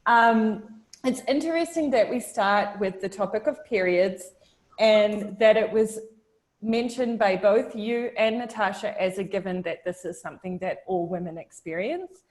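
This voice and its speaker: A woman's voice: mid-range voice, unhurried. A young woman from New Zealand speaking over a video call in a casual discussion. She talks thoughtfully, circling back and restarting her sentences as she works through ideas, with a mid-range voice. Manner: thoughtful, hesitant, many restarts and fillers. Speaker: discussion participant.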